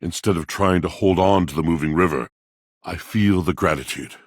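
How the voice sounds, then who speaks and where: An actor playing a grandiloquent, melancholy washing machine in a video game, with florid, courtly, old-fashioned diction and a gravelly voice. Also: male voice, normal speed, no room sound, studio quality. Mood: wistful, grateful. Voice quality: gravelly, deep. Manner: theatrical, florid, formal old-fashioned diction, savoring words. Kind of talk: theatrical video game character acting.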